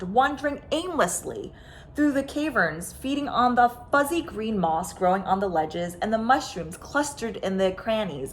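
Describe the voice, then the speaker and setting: A woman reading a children's picture book aloud, expressive and dramatic, stretching words for emphasis. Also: mid-range voice, slight background noise. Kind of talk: animated children's story reading.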